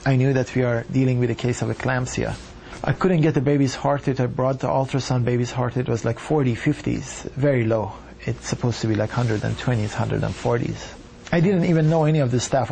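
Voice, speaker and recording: A doctor from Iran telling his story in an earnest, measured way. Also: mid-range voice, vocal fry, darkish tone. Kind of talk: reflective personal account.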